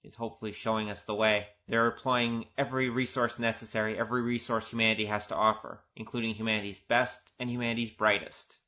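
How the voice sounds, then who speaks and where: A man with a fairly deep voice talks through a director's commentary in an informal, conversational way. He sounds earnest as he speaks as a producer. The recording is a little muffled and lo-fi. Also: flat delivery, fast talker, few pauses. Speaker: audio drama producer giving director's commentary. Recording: dull, lo-fi recording.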